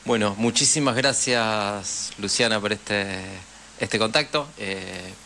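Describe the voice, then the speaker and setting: A man's voice: lowish voice, takes it slowly, bright, forceful tone, a little hiss or hum. A man with an Argentine Rioplatense accent, chatting casually as the host of a radio interview. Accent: Argentine Rioplatense Spanish.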